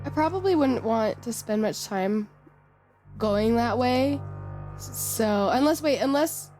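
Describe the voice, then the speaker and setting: A woman's voice: on the high side, animated, slow, darkish tone. A woman playing a tabletop game, chatting casually and thinking aloud about her moves. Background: clear background music.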